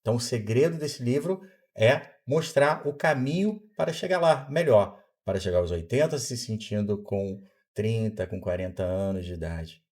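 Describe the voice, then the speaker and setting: A Brazilian man in his fifties speaks as a podcast guest, with a somewhat low voice. His delivery is didactic, and he often asks a question aloud and then answers it, the way a doctor explains things to a patient. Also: moderate pace, hardly any pauses, darkish tone. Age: 50s. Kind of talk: explanatory interview talk.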